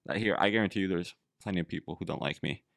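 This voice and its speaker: A man's voice: deep voice, racing, gravelly, a bit bright, dry recording, studio quality. A man hosting a casual conversation, talking reflectively and rambling with lots of "like". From Canada. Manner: reflective, rambling, heavy use of "like".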